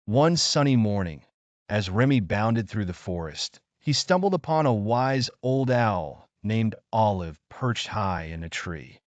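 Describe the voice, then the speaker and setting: A man narrating a scripted children's story in an expressive, measured storytelling manner. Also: lowish voice, no room sound, highs cut off.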